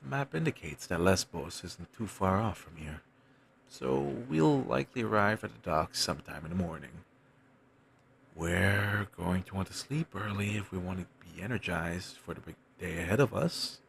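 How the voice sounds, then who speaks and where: A man playing a wistful, self-doubting wandering bard in an intimate audio roleplay, musing in courteous, formal phrases with thoughtful pauses and a gravelly, husky voice. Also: sits low, expressive, fairly bright tone, slight background noise, heavily acted. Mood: courteous, pensive. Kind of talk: intimate one-sided audio roleplay acting.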